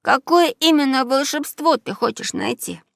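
A woman voicing lively, curious young children in a storybook, with an eager, childlike manner. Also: high voice, moderate pace, vocal fry, no echo, clean studio sound, voice pushed up, full character voice. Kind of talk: children's audio storybook character voice.

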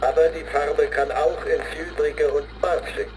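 An actor playing a grim zealot who recites a training manual deliberately, like an incantation, in a radio play. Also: male voice, on the high side, steady tone, noisy background, lightly acted. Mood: solemn, ominous. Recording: studio radio play with music underneath. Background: music.

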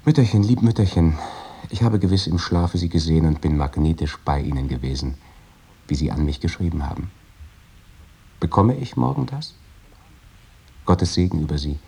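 A deep, drowsy, tender man. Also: dark tone, husky, full character voice. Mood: tender, devout. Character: tender, devout man reading a letter to his beloved "little mother". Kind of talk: literary radio play monologue.

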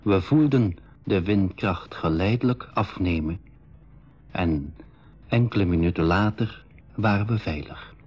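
A man narrating an adventure to young listeners in measured, scripted school radio style. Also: low-pitched, darkish tone, husky. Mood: measured, dramatic. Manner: measured storytelling. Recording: dull old radio recording.

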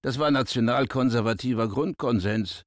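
An actor playing a proud, self-assured surgeon in a radio play, speaking curtly. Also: male voice, medium pitch, moderate pace, no room sound, clean studio sound, natural voice.

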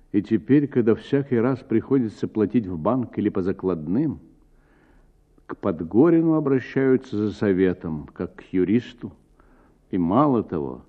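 Expressive low-voiced male storyteller, narrowband sound. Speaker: actor reading a short story as narrator. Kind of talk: expressive literary audiobook narration for radio.

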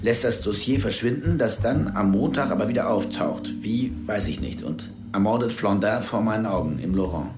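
A man's voice: fairly low pitch, animated, moderate pace, hardly any pauses, natural voice. An actor playing a frightened male informant who confesses hesitantly in a radio drama. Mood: nervous. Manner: nervous, hesitant, pleading. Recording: roomy radio play with music.

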